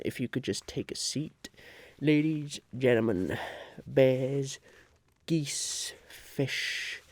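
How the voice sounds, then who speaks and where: A man playing a polite, harried would-be businessman chairing a meeting in a soft-spoken, halting ASMR roleplay. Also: medium pitch, creaky. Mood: earnest, welcoming. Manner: hesitant, halting, politely patient.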